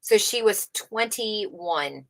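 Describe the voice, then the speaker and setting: A woman's voice: medium pitch, expressive, very slow, fairly bright tone. A woman livestream host reading a book aloud unrehearsed, informally, stumbling over words and correcting herself as she adds casual commentary.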